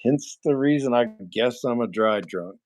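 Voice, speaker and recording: A man in his forties with a nasal voice and an ordinary middle pitch talks in a loose, rambling way to his livestream chat. He is blunt and swears freely. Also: moderate pace, a little mellow. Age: 40s. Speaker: livestream host answering chat. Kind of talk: casual live chat.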